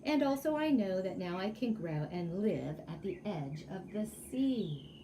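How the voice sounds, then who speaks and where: Woman voicing an excitable little hermit crab, lilting and lively. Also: medium pitch, measured pace, background noise. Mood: delighted, proud. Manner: exclaiming, sing-song rhyming delivery. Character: earnest, excitable young male hermit crab. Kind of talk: children's storybook read-aloud.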